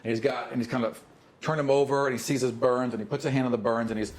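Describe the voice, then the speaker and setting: A man's voice: medium pitch, steady tone, quick pace. A man improvising vivid, conversational narration as game master of a tabletop game. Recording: clear background noise.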